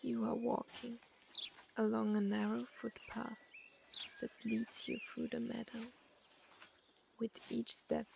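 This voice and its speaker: A woman narrating a guided meditation and story in an unhurried, soothing way, with long pauses between phrases. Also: fairly high pitch, gravelly, background noise.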